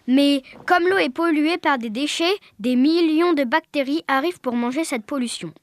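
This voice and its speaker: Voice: sits high, moderate pace, no room sound, clean studio sound. A child reading a scripted radio presentation as a young presenter. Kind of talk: scripted radio quiz presentation, read aloud.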